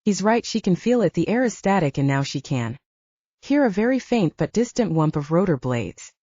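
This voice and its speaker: Mellow, expressive woman narrating fiction. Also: fairly low pitch, moderate pace, no echo, highs cut off. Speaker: audiobook narrator. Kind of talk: dramatic scripted fiction narration.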